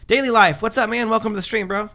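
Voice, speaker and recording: A man's voice: high-pitched, steady tone, fast talker, creaky, heavy background noise. Chatty man rambling through patch notes. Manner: chatty, rambling, many um fillers and restarts. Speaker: livestream host. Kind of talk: casual livestream chat, reading patch notes.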